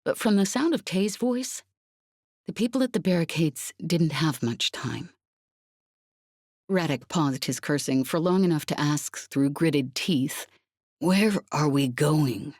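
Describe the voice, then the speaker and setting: Low-voiced woman narrating fiction, dry sound. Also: expressive, unhurried, darkish tone, no echo. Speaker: audiobook narrator. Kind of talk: dramatic scripted fiction narration.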